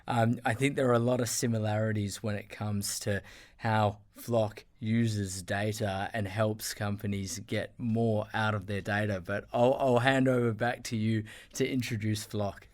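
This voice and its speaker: A man's voice: lowish voice, fairly even intonation, measured pace. A man hosting a podcast interview, effusive and full of fillers and restarts. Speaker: podcast host. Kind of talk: casual interview chat.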